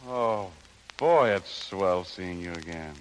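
A man's voice: deep voice, animated, slow pace, slight background noise, rough recording. An actor playing an earnest, flustered, smitten young leading man in 1930s radio comedy-drama style, with hesitant exclamations. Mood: smitten, awkward. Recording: old 1930s radio broadcast.

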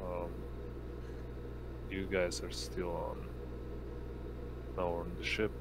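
A man with a deep voice runs a tabletop game over an online call. He narrates slowly and haltingly in a flat tone, with clear noise in the background. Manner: halting, hesitant delivery. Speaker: game master.

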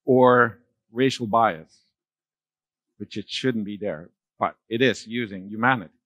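A middle-aged man giving a conference keynote on a large dark stage, talking loosely with fillers and restarts. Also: on the low side, measured pace, no room sound, dull audio. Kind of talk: keynote talk with slides.

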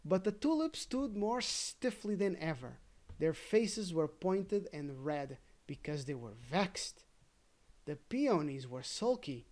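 Slow, deliberate storybook reader. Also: mid-range voice, a little mellow. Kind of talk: read-aloud fairy tale narration with casual asides.

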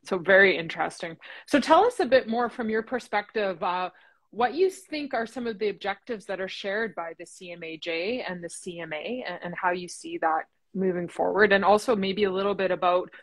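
A woman's voice: mid-range voice, normal speed. Encouraging woman hosting a video chat. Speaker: interview host, association president. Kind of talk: casual interview chat. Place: home room. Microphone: laptop webcam on video call.

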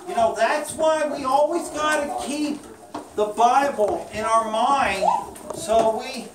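Casting: woman reading and quizzing for kids, unhurried, recorded far off in a roomy, noisy space. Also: fairly high pitch. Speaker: children's puppet show leader and narrator. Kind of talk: reading and quizzing children aloud. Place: small indoor classroom with children. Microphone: distant camera microphone. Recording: echoey room, far mic, clear noise.

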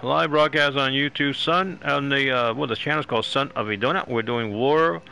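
Gravelly male game streamer. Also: medium pitch, normal speed, pushed and bright, low-quality recording. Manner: rambling, repeats phrases. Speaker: gaming streamer. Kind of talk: live game commentary, casual chat.

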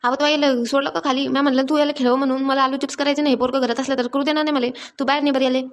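A woman's voice: high voice, little pitch movement, close to an everyday voice. A woman voicing a busy, scolding village mother in a cartoon, nagging. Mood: coaxing, harried. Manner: nagging, rapid repeated orders. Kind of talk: Marathi comedy cartoon dialogue.

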